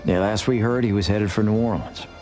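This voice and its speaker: An actor playing a level-headed gunslinger hero in a western video game, terse and matter-of-fact. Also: male voice, on the low side, fairly even intonation, a little fast, darkish tone, natural voice. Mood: calm, decisive. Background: music.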